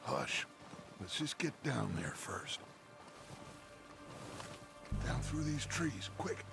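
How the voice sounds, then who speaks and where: An actor playing a middle-aged American outlaw gang leader in a western game, oratorical and commanding. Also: male voice, medium pitch, animated, drawn-out words, vocal fry, over loud music, heavily acted. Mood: urgent, low. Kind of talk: cinematic western game acting. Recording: noisy outdoor ambience with horse hooves.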